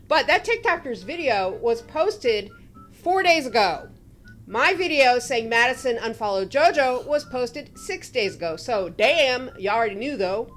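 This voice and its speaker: A woman's voice: on the high side, expressive, a little slow, fairly bright tone, faint music behind. A young woman giving sarcastic gossip commentary, with a knowing tone.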